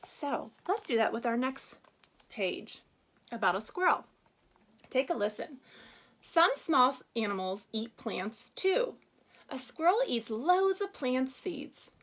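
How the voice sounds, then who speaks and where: Lively, high-voiced woman teaching young children. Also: slow. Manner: instructive, asking questions and prompting young children. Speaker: first-grade teacher. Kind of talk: children's reading lesson with read-aloud.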